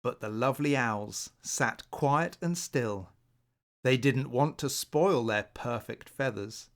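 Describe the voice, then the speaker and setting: A man reads a children's picture book aloud at a slow, unhurried pace. His delivery is gentle and measured, like bedtime narration. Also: medium pitch. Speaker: storybook narrator.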